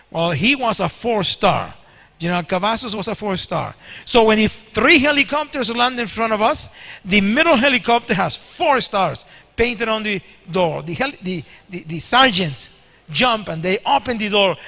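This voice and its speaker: A man's voice: high voice, creaky, sharp tone. A man in his sixties whose first language is Spanish, preaching a sermon with stories and asking the congregation questions. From Spanish-speaking country. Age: 60s. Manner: storytelling, asks the congregation questions. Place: large church hall. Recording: long hall reverb.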